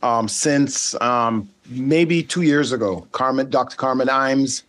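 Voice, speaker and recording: A middle-aged man from Saint Martin, a native Dutch speaker, talking earnestly as an interview guest with many pauses and ums. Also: mid-range voice, a bit bright. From Saint Martin, Caribbean. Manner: thoughtful, frequent ums, earnest. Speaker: guest, ethical hacker. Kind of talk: casual interview conversation.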